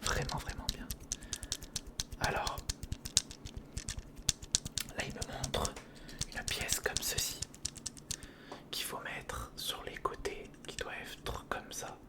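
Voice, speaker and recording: A man speaking in a breathy whisper close to the microphone, informally and reassuringly talking the listener through each step in ASMR style. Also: slow, pauses often. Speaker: ASMR video creator. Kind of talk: whispered ASMR build-along. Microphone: close sensitive recorder microphone.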